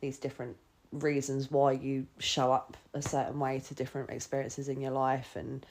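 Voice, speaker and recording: A woman's voice: rumbling low, little pitch movement, moderate pace, a little mellow, a little hiss or hum. A woman hosting a podcast, chatting enthusiastically and casually with plenty of filler words. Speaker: podcast host.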